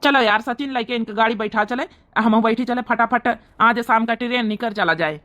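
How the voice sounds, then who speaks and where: A woman acts a young cartoon villager who is desperate to get away. The voice is fairly high, with an Awadhi accent. The delivery is urgent, bossy and impatient. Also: moderate pace, a bit bright, lo-fi sound, natural voice. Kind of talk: cartoon comedy voice acting.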